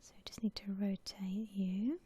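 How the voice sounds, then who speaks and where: A woman whispering softly in a gentle, unhurried, musing way, as in ASMR commentary on a game she is playing. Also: mid-range voice, a little hiss or hum. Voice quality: soft breathy whisper. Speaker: gaming ASMR host.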